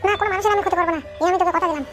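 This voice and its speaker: A woman's voice: very high voice, steady tone, racing. A woman voicing a boastful but helpful, childlike ghost in a cartoon comedy, with an exclamatory delivery. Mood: pleading. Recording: music behind the voice.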